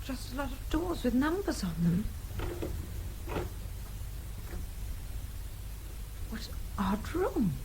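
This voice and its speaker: A highly strung young British woman in a radio play. Her voice is fairly high and swoops expressively, fretful and well-spoken, over slight background noise. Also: very animated, moderate pace. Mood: anxious, panicky. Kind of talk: radio drama acting.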